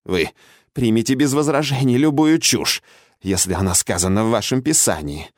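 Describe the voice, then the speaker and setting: A man voicing a defiant old imprisoned witch in an audiobook, hoarse and croaking, with a caustic, mocking, argumentative way of speaking. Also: medium pitch, expressive, moderate pace, no room sound, studio quality, lightly acted. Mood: scornful, mocking. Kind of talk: audiobook character acting.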